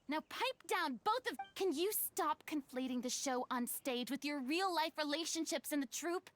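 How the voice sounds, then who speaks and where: Very high-voiced, earnest young peacemaker girl. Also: a bit bright. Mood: exasperated scolding. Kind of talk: video game voice acting.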